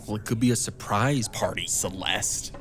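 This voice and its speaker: A man's voice: on the low side, normal speed, over loud music, lightly acted. A young man playing a goofy, dim, teasing bro in an audio drama, joking and tagging questions with "eh". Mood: puzzled. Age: young adult.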